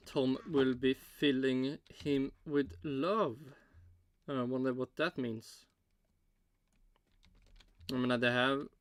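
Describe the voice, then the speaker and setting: A man hosting a casual reaction video, talking haltingly with many fillers as he counts aloud. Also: mid-range voice, a little hiss or hum. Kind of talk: casual commentary while watching.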